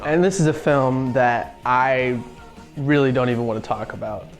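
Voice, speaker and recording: A man's voice: medium pitch, normal speed. A man co-hosting a semi-scripted video review in a sardonic way, as commentary. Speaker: co-host. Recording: music behind the voice.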